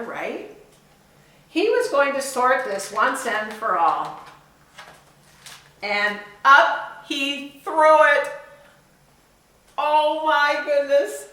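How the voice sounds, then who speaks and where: A woman reading a picture book aloud for children, expressive, with chatty asides and questions to her young listeners. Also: mid-range voice. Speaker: volunteer storyteller. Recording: echoey room, far from the mic.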